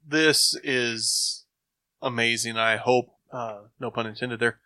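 A man in casual chat, rambling enthusiastically with thinking pauses. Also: medium pitch, fairly even intonation, pushed and bright, no room sound. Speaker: podcast host.

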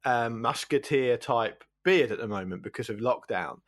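A man's voice: mid-range voice, normal speed, a bit bright. A man trading casual comic banter as a podcast panellist, in a wry and teasing way.

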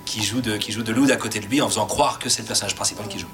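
A man's voice: on the low side, racing, a bit bright, slight background noise. A man talking informally on an expert panel about creative work in audio fiction.

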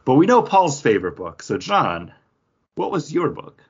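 A man's voice: mid-range voice, very animated, moderate pace, husky. A man co-hosting a comic book podcast in casual, conversational talk. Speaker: podcast co-host reviewing comic books. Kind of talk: casual chat. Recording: dull, band-limited audio.

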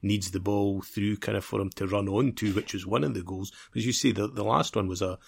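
A man's voice: lowish voice, fairly even intonation, fairly quick, creaky, breathy edge. A man co-hosting a football podcast in a casual, discursive conversational way.

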